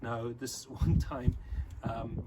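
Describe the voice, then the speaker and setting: Afrikaans-native man, friendly club leader, mid pitch, noisy call audio. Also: level tone. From South Africa. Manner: friendly, chatty, teaching words slowly. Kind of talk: informal online talk to children.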